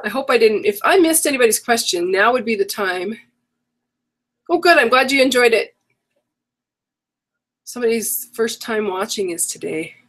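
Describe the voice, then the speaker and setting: A woman's voice: on the high side, expressive, normal speed, long pauses, fairly bright tone. Chatty middle-aged woman hosting a craft livestream. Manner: chatty, rambling, self-correcting. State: voice getting worn from a cold. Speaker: online workshop host. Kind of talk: casual live-stream chat.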